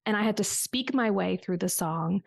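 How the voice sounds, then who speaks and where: Podcast guest, woman, forties, reflective storyteller, some vocal fry. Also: mid-range voice, normal speed, dry recording, clean studio sound. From United States. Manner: reflective storytelling with small restarts. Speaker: business coach guest. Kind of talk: conversational podcast interview.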